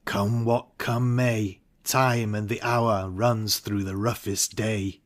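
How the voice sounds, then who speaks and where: An actor playing an ambitious, brooding warrior thane in a dramatic Shakespeare reading. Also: male voice, lowish voice, level tone, takes it slowly. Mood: brooding, troubled.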